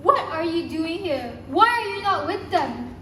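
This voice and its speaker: A woman's voice: very high voice, sing-song, normal speed, roomy sound, a crowd in the background. A woman playing a distressed young granddaughter in an amateur play, urgent and questioning. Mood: distressed, accusing. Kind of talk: amateur stage-play acting.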